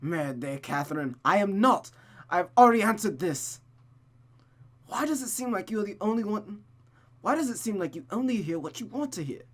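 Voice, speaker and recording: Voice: mid-range voice, lively intonation, moderate pace, voice pushed up, close to an everyday voice. A performer voicing an exasperated, weary man arguing in a visual novel. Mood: irritated.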